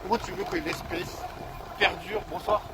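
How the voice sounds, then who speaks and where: A man's voice: sits high, normal speed, vocal fry, very noisy. A young man rambling in casual slang about his day, cold and coming down with a bug, recorded outdoors. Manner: slangy, rambling, self-mocking. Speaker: vlogger. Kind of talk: rambling outdoor vlog monologue.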